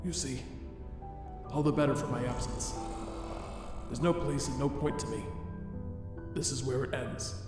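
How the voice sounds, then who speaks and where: Comic-dub drama: despairing, formal skeleton scientist male actor, deliberate and resigned, long hall reverb with music behind. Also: mid-range voice, fairly even intonation, lightly acted. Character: despairing, weary, self-loathing scientist, male skeleton monster.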